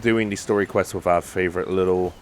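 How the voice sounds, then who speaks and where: Very low-voiced woman commentating a game. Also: fairly even intonation, a little fast, background noise. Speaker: video game streamer. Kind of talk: casual let's play commentary.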